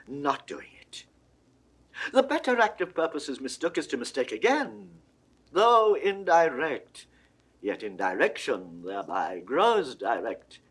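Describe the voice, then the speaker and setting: An actor playing a stern, imperious cardinal, declaiming in measured, commanding classical Shakespearean radio-drama style. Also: male voice, fairly high pitch, full character voice. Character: stern imperious Roman cardinal, threatening churchman. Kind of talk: 1960s classical Shakespearean audio drama acting.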